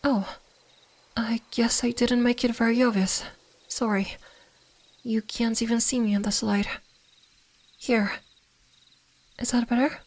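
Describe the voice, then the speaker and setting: A woman playing a gentle, curious kitsune fox spirit in an ASMR roleplay, speaking softly, soothingly and a little hesitantly. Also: fairly high pitch, fairly even intonation, a little slow, long pauses. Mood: gentle, slightly shy. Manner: soft-spoken, soothing, hesitant, at times whispering.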